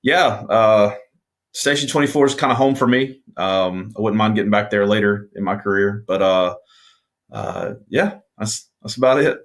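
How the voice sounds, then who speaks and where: Low-voiced, hesitant male firefighter. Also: moderate pace. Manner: hesitant, many ums. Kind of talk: casual interview.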